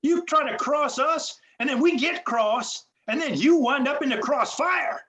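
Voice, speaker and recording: A woman's voice: high-pitched, unhurried, a bit bright. A woman playing a dim, threatening gangster sidekick with tough-guy slang in a campy noir radio play.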